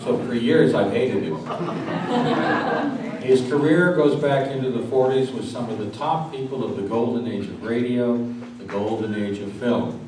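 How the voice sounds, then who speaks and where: A man hosts a live interview, speaking as one introducing a guest on stage. His medium-pitched voice rings in a very echoey space, picked up from some distance, with clear noise behind it. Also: moderate pace. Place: live event venue with audience.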